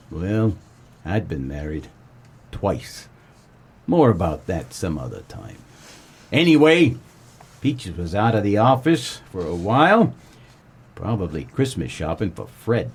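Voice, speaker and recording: An actor playing a wry, world-weary 1940s private eye, narrating a comedic noir radio play. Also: male voice, low voice, husky, heavily acted. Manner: wry, deadpan, colloquial.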